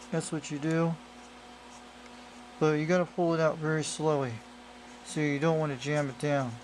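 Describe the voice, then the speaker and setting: A man giving a casual hands-on demonstration as a hobbyist, explaining in a rambling, repetitive way on a noisy amateur camera recording. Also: a little high. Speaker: radio hobbyist presenter.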